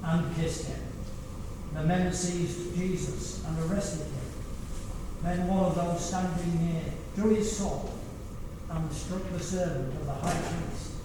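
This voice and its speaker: A man's voice: on the high side, steady tone, slow pace. A man giving a dramatic scripted narration of scripture in a church. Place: large echoing church, away from mic. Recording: distant echoey church hall, clearly noisy.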